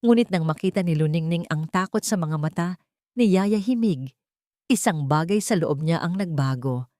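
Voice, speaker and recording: A woman's voice: fairly low pitch, expressive, normal speed, darkish tone, dry recording, studio quality. A woman narrating a scripted dramatic story.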